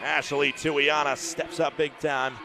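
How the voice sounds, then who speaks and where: A man doing live play-by-play commentary on a college volleyball match, who rises to shouts on big plays. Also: a little high, little pitch movement, takes it slowly, noisy background.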